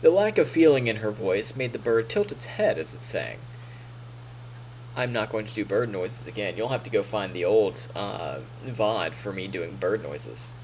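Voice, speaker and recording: A man reads a visual novel aloud as a streamer, with very animated, swinging intonation and a playful storyteller's delivery. He mixes narration with casual asides, and faint noise sits behind his voice. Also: fairly low pitch, poor audio.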